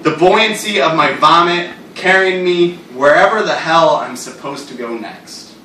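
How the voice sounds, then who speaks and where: Male literary reader, slightly high voice, unhurried and wry, deadpan delivery, distant roomy recording. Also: slight background noise. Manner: deadpan, wry, serious delivery of absurd lines. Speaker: writer reading his own work aloud. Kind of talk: live literary reading of stories and poems. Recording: roomy echo, distant mic.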